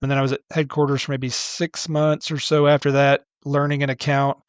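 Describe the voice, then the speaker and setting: A middle-aged man, a podcast guest, talking casually in a relaxed, rambling way with many restarts. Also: medium pitch, brisk, dry recording, muffled recording. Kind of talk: casual interview talk.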